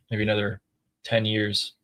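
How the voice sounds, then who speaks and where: A man co-hosting a live stream, reading viewer messages aloud and thinking out loud in a casual, chatty way. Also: lowish voice, completely flat delivery, measured pace, low-quality recording. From United States. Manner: chatty, thinks aloud with fillers and throat clearing. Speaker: co-host reading viewer super chats.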